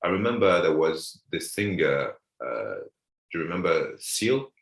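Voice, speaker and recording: A man's voice: deep voice, sing-song, unhurried, creaky. A man, chatting casually as a guest comedian, often repeating words as he searches for them. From France. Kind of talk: casual chat. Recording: roomy echo.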